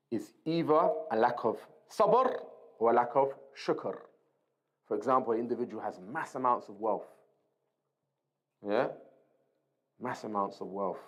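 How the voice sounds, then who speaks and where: Man teaching an informal religious lesson. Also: medium pitch. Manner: conversational, repeats key phrases, checks in with short tag questions. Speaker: religious teacher reading and explaining a book. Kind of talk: informal Islamic lesson, explaining point by point.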